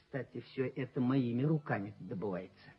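An actor playing a servile, bitter sacked rent collector in a radio play, pleading and justifying himself emphatically in a husky, raspy voice. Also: male voice, medium pitch, moderate pace, natural voice. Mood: sly, bitter. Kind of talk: theatrical radio play acting. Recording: muffled 1970s radio play recording.

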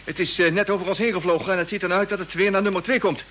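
A male actor playing a chatty, excitable radio operator with folksy, hesitant phrasing in a radio play. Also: high-pitched, very fast. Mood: joking, nervous. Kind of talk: mid-century radio play acting. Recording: old radio recording with faint hiss.

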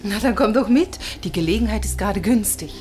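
An actress playing a sociable society hostess in a German radio crime drama, polite and lightly ironic. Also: female voice, mid-range voice, animated, a little fast, over loud music, natural voice. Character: Maura, sociable hostess, wife of tight-fisted rich man.

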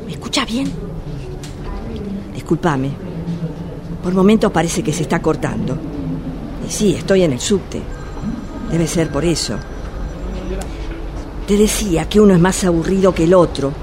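Clear subway noise surrounds an actress playing an exasperated blind woman vendor in a radio play. Her Rioplatense Argentine speech is slow and emphatic, swinging widely in pitch as she complains with sarcasm. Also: animated. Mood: exasperated, sarcastic. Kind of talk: radio drama monologue as phone call. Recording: loud subway train noise throughout. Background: subway ambience.

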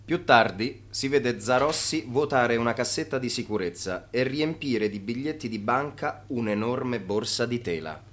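An actor playing the narrator of a radio drama, setting scenes in concise, scripted phrases. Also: male voice, mid-range voice, animated, moderate pace, fairly bright tone, close to an everyday voice. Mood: measured.

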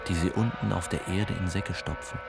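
Low-voiced male narrator over music. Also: steady tone, a little fast, vocal fry. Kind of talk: literary radio play narration. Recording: music under the voice.

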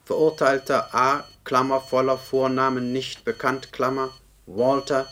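An actor playing a dry, formal official who reads out police records in a radio play, punctuation included. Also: male voice, medium pitch, unhurried, lightly acted. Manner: monotone, formal, spells out punctuation. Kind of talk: German radio play acting, document read-out. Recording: older radio drama, noticeable background noise.

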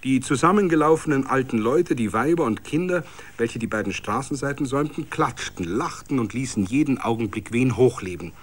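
A man reading a literary story as a narrator, in a vivid, dramatic, expressive way. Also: medium pitch, fairly quick. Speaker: narrator, reader.

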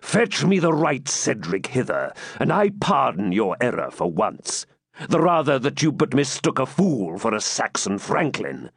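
A man reading a furious, cruel Norman baron, bellowing slow threats in a raised voice. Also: medium pitch, sing-song, creaky, husky, no room sound, studio quality, pitched above the performer's own voice, full character voice. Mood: enraged. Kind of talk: audiobook character reading.

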